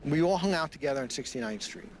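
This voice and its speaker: An older man from New York reminisces in a casual interview. His voice is a bit high for a man. Clear ambient noise with vehicles surrounds him. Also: expressive, fast talker. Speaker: interviewee, surfer. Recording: noisy outdoor ambience with vehicles.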